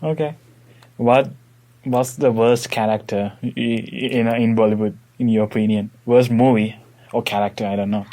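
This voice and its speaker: A man's voice: medium pitch, fairly even intonation, moderate pace, warm tone. Young man, mid-range voice, podcast host, casual interview chat, informal, explanatory. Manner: informal, explanatory asides to listeners.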